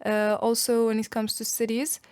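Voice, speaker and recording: A woman's voice: on the high side, little pitch movement, normal speed, a little mellow, clean tone, dry recording, studio quality. A young Algerian woman whose first language is Arabic, answering interview questions in a casual, thoughtful way, with many fillers and restarts. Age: young adult. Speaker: guest.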